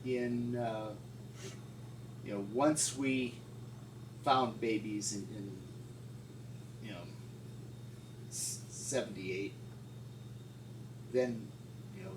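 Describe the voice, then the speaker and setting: A middle-aged man reminiscing informally as an interviewee, in a halting, folksy way. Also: mid-range voice, fairly even intonation. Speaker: paleontologist interviewee. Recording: distant mic, echoey room, loud noise.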